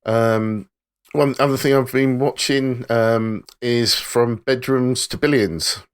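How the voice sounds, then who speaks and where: A man's voice: medium pitch, steady tone, takes it slowly, clear, resonant tone. A man co-hosting a podcast, recommending a show and a documentary in an easygoing, enthusiastic way. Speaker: podcast co-host.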